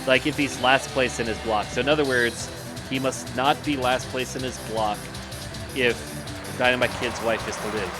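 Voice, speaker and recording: A man's voice: mid-range voice, fairly even intonation, normal speed, poor audio. A man with a Minnesotan accent giving casual game commentary with friends, joking and teasing as he rambles. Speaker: stream commentator. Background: game music.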